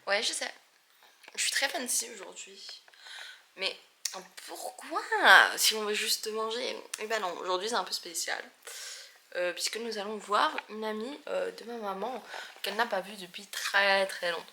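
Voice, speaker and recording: A woman's voice: medium pitch, normal speed, vocal fry, bright, forceful tone, a little hiss or hum. A young woman from Belgium chatting casually to camera in a travel vlog, with chatty, self-mocking asides. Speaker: vlogger.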